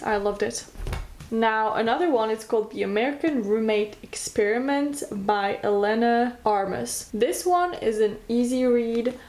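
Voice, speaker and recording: Woman, French accent, native French speaker, chatty book vlogger, enthusiastic, middling pitch. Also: darkish tone. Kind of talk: casual book recommendations, reading blurbs aloud.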